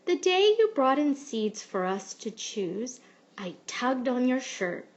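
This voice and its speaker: Slow, higher-voiced woman reading a storybook. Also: sing-song, muffled recording. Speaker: teacher reading aloud. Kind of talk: storybook read-aloud narration.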